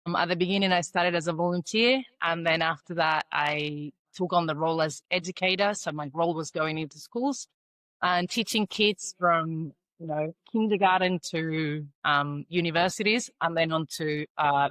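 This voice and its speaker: Argentine-Australian accent, woman in her thirties, low-ish pitch, dry, unhurried with fillers. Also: a bit bright, no echo. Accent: Argentine Spanish mixed with Australian. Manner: thoughtful, halting, many fillers and restarts. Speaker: guest, environmental consultant. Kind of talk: podcast interview.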